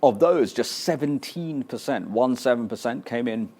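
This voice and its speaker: A man's voice: mid-range voice, expressive, normal speed, darkish tone. A British man, a politician answering interview questions earnestly and insistently, often repeating words as he presses his point. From Britain. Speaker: political guest.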